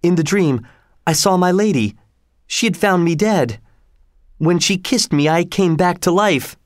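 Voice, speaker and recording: A man's voice: high voice, lively intonation, measured pace, no echo, studio quality. A man reading aloud, voicing an impulsive young lover who talks with urgency. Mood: hopeful. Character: young male lover, passionate and rash.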